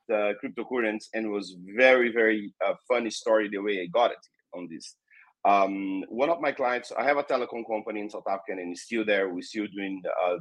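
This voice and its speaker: A Brazilian man in his fifties, a native Portuguese speaker with a Brazilian accent, telling stories as an interview guest, lively and acting out the dialogue. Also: on the low side, dry recording, rough recording. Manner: animated, acts out dialogue, swears casually. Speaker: guest, tech company founder. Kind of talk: casual storytelling interview.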